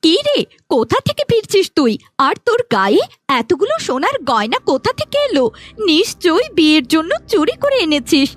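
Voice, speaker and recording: Woman as a spiteful, shrill-high scheming cartoon sister. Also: sing-song, fairly quick, no echo. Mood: accusing. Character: spiteful, jealous, scheming young sister, female. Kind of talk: Bangla fairy-tale cartoon dubbing.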